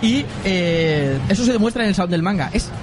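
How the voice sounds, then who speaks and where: A man with a Spanish accent from Spain, hosting a casual manga chat with halting explanations. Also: high-pitched, expressive, background noise. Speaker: podcast host. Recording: music playing behind the voice. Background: clear background music.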